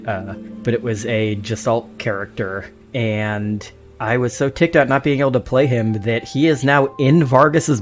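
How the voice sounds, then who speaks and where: Man chatting casually, mid-pitched voice. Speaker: podcast panelist. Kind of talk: casual discussion among friends.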